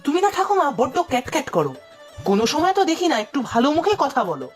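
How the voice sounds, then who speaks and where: A woman's voice: sits high, huge pitch swings, racing, faint music behind. A woman voicing a cheeky, whining young village boy in a cartoon, pleading and arguing as he talks. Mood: cheeky protest. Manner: pleading, teasing, argumentative. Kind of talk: Bengali cartoon voice acting.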